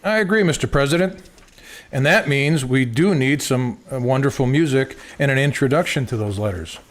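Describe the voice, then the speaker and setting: A man playing a diligent presidential aide and speechwriter in live radio theater, deferential and deliberate. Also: mid-range voice, a little hiss or hum, natural voice. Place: live stage before a studio audience in a church.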